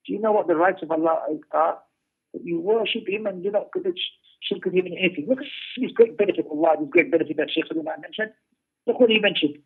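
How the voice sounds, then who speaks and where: A man's voice: high voice, quick pace, husky. A man teaching a religious class, explaining a text emphatically, repeating phrases and posing rhetorical questions. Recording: muffled narrow-band radio-like sound.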